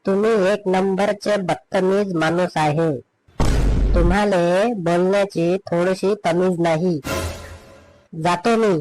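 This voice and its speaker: A man's voice: high-pitched, steady tone, slow pace, music in the background, low-quality recording. A man playing a shameless, touchy, meddling neighbour in a comedy cartoon, with a Vidarbha Marathi accent. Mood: nosy, offended. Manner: meddling, pestering.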